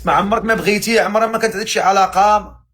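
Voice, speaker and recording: A man with a Moroccan accent hosting a matchmaking live stream, teasing guests with quick-fire questions. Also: sits high, fairly even intonation, a bit bright.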